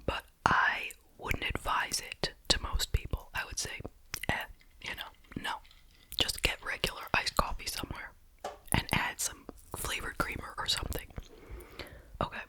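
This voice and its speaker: Whispering woman, breathy ASMR voice. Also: a little slow, pushed and bright. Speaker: host reviewing snacks. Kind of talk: whispered ASMR food review.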